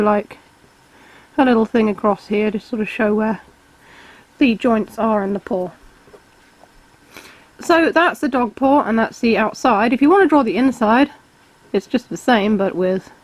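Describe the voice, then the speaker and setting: A woman, calmly explaining each step of a drawing tutorial while she sketches. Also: on the high side, measured pace, long pauses, rough recording. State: has a cold, slightly hoarse. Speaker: art tutor.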